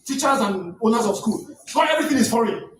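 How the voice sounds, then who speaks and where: A middle-aged Nigerian man, a native Yoruba speaker, giving a lecture. He speaks with passion, rises to near shouts and stammers on his restarts. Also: extremely high pitch, lively intonation, fast talker. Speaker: guest lecturer. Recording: echoey room, distant mic, faint noise.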